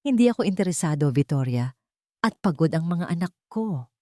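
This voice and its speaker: A woman's voice: fairly low pitch, lively intonation, fairly quick, dark tone, dry recording, studio quality, lightly acted. A woman voicing a weary, grieving widowed father, curt and guarded, in a dramatic story. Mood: weary, irritated.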